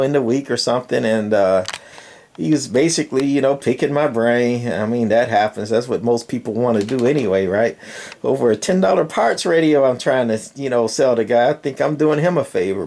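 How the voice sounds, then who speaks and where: An older man with a gravelly voice, giving a casual, rambling hobbyist walkthrough with folksy, dryly sarcastic asides. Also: mid-range voice, a little mellow. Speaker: radio hobbyist presenter.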